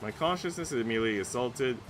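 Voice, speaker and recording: A man reading a visual novel aloud as a streamer, in an expressive, dramatic narration that drops hushed and rises with emphasis. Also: medium pitch, quick pace. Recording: faint game music behind. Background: game music.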